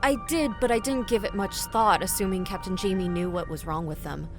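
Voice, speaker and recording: A woman's voice: medium pitch, a little fast, natural voice. A polite but guarded young dispatcher, voiced by a woman, answering carefully and evasively. Faint music sits behind her. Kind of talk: audio drama acting.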